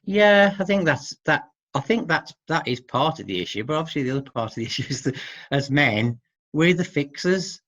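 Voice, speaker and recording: A middle-aged man speaking as an expert guest in an informal interview, hesitant and halting, often seeking agreement with tag questions. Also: on the high side, animated, fairly bright tone, dry recording. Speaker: expert guest who works with men. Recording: dull, muffled lo-fi audio.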